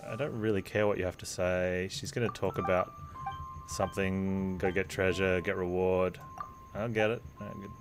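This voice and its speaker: A man's voice: sits low, little pitch movement, moderate pace. A man giving casual live gameplay commentary, thinking aloud in an offhand way as he streams. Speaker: video game streamer. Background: game music.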